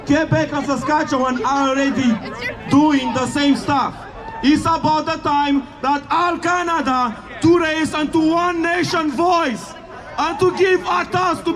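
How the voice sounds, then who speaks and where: A man shouting a protest speech outdoors, his voice pushed very high and delivered slowly, word by word. His English is halting, with slips of grammar, and a crowd murmurs faintly behind him in the street. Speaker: protest speaker. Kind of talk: rally speech.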